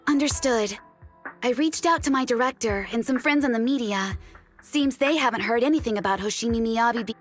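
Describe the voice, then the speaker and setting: Woman acting a high-voiced, composed deputy officer. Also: faint music behind, close to an everyday voice. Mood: businesslike. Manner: polite, measured, formal. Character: composed, diplomatic female special-unit deputy. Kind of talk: video game voice acting.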